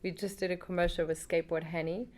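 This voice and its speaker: A woman's voice: lowish voice, flat delivery, quick pace, a little mellow. A woman guest in an interview, speaking earnestly with some non-native phrasing. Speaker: guest, pet supplement founder. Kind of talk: casual interview chat.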